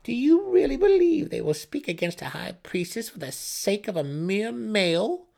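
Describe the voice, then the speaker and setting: A man voicing a cruel, imperious female dark elf high priestess with a menacing, scornful snarl in an audiobook. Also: big pitch swings, moderate pace, creaky, exaggerated voice. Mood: mocking scorn.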